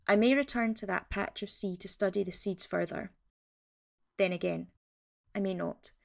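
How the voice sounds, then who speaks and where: A woman playing an awed field naturalist, giving a measured, earnest scripted report in the first person. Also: medium pitch, gravelly, close to an everyday voice. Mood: reflective.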